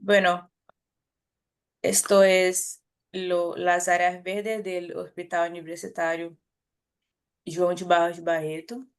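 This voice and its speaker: A woman with a Brazilian Portuguese accent, a native Portuguese speaker, giving a conference talk in a careful, halting way with frequent restarts and self-corrections. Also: on the low side, little pitch movement, smooth. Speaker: academic presenter.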